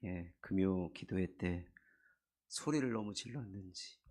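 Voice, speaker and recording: A middle-aged man preaching a Sunday sermon in a church, his voice hoarse and husky from a cold, building earnest emphasis. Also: deep voice, measured pace, a little mellow. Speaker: pastor. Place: church sanctuary.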